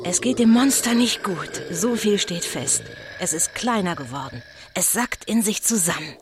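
A woman playing an excitable eyewitness narrator in a children's spooky audio drama, telling it as suspenseful live commentary. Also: medium pitch, moderate pace, noisy background, natural voice. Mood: suspenseful, awed. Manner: suspenseful, shifting from whispers to cries. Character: excitable, fearful female eyewitness narrator of a ghost battle.

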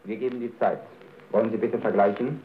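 An actor playing a formal wartime radio announcer reading bulletins through an old radio filter. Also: male voice, lowish voice, steady tone, a little fast, slight background noise. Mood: official. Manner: formal, official. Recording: tinny narrow-band radio sound.

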